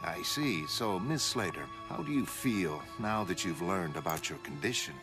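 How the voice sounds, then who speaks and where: A man voicing a polite male newspaper reporter in a motion comic, with a measured, coaxing manner. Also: low voice, expressive, music in the background. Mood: soothing, polite. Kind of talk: motion comic dramatic reading.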